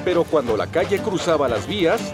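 A Latin American man narrates a children's cartoon story. His voice is slightly high and lively in pitch, with music playing behind it. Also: fairly quick.

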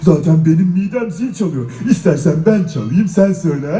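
A man's voice: a little high, expressive, a little fast, close to an everyday voice. A man playing a cheerful, hungry comic friend in a children's stage musical, coaxing and chatty. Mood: cheerful, pleading. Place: children's show stage in a shopping mall. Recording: roomy stage sound, loud guitar music behind.

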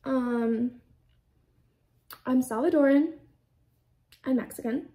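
A woman's voice: high voice, takes it slowly, warm tone. A young woman in her twenties from the United States, chatting casually while doing her makeup in a small room, rambling and filling her talk with "like". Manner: chatty, rambling, heavy use of "like". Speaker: beauty vlogger. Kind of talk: casual get-ready-with-me chat. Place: small indoor room with a plain backdrop.

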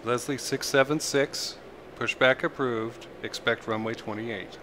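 A man playing air traffic controller, speaking in clipped, deliberate procedural phrases with muttered commentary. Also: mid-range voice, fairly even intonation. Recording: very noisy, engine drone behind. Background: flight simulator aircraft ambience.

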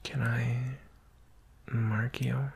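A young man playing an anxious, devoted werewolf in an intimate ASMR romantic roleplay, speaking hesitantly in a husky, breathy voice. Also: medium pitch, little pitch movement, very slow, exaggerated voice. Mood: shy longing. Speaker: roleplay voice actor.

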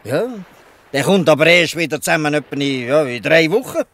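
An actor with a Swiss German accent playing a weary, good-natured old fisherman who explains things haltingly in a dialect audio drama. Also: fairly high pitch, animated, fairly bright tone. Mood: explaining, resigned.

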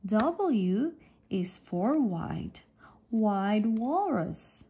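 A woman reading an alphabet picture book aloud for children, deliberately setting apart each letter and phrase. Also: mid-range voice, big pitch swings, mellow, slightly rough.

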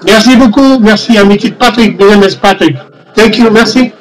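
A man from France with a French accent, a native French speaker, making a radio contact as an amateur operator in a halting, repetitive way. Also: high voice, poor audio. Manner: halting, repeats numbers and phrases.

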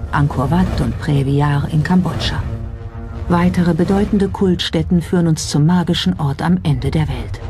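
A documentary narrator reading a script in a measured, suspenseful storytelling manner. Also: medium pitch. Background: background music.

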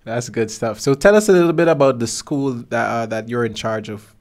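A young man with a mixed Caribbean lilt leads a relaxed podcast chat, his voice sitting at a middle pitch. He jokes often and breaks into laughter, speaking into a close studio mic. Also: brisk. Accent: Caribbean English, mixed Guyanese and Bahamian. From Guyana. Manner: joking, laughs often, easygoing. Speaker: podcast host. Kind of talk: casual interview chat. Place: small studio with desk. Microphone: studio mic on stand.

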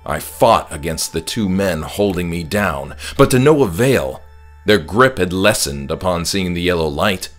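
A man's voice: deep voice, fairly bright tone. A man reading a horror audiobook as a dramatic first-person storyteller. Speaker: author reading own audiobook. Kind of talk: scripted horror audiobook narration. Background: music.

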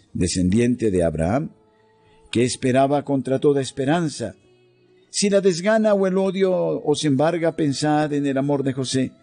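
A man reading a devotional text in a slow, solemn, measured way, pausing between phrases. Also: medium pitch. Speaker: devotional reader. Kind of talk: slow scripted devotional narration. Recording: soft music underneath.

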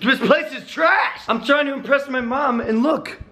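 An American man in his early twenties chatting casually in slangy, animated vlog style with a strained, hoarse voice, filmed at arm's length indoors. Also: extremely high pitch, normal speed. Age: early 20s. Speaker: vlogger. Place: apartment rooms, indoors. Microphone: camera at arm's length.

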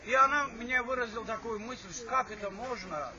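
A man's voice: very high-pitched, moderate pace. Older man, rambling reunion speech. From Azerbaijan. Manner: rambling, repeats himself, stops to restart. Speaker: alumni speaker. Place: assembly hall. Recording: dull lo-fi recording with chatter from the audience.

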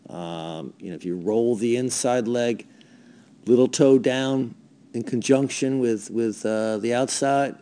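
A man coaching a young ski racer in a voice-over, talking over video in a relaxed, hesitant way with many fillers. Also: medium pitch, a little slow, clear, resonant tone.

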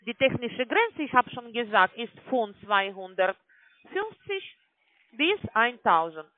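A woman with a non-native German accent gives an explanatory phone sales pitch as a call-centre agent, often adding tag questions to confirm. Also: sing-song, slow pace, dry recording. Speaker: call-centre agent selling online trading. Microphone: telephone call. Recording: tinny, band-limited phone line.

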